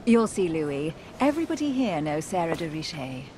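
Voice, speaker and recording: An enigmatic noblewoman in a game cutscene. She speaks in a lowish, refined voice, poised, flirtatious and evasive. A steady rumble is clearly heard behind her. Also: moderate pace. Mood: coolly evasive. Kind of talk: video game cutscene acting.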